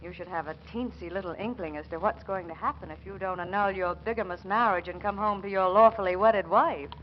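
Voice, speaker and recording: An actress playing a teasing, possessive wife on the telephone, playful and cooing, in 1940s radio comedy style. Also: female voice, mid-range voice, brisk, natural voice. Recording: dull, faintly noisy 1940s radio recording.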